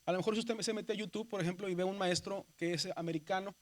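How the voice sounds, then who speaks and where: Mexican man teaching, high voice. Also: steady tone, quick pace. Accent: Mexican Spanish. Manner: explanatory, repeats "¿verdad?" as a check; addresses students as "hermanos". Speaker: seminary teacher. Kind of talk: classroom lecture.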